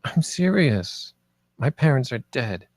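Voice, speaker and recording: A man's voice: medium pitch, big pitch swings, slow, darkish tone, no room sound, exaggerated voice. A man playing an earnest, loyal and determined young friend in a script table read.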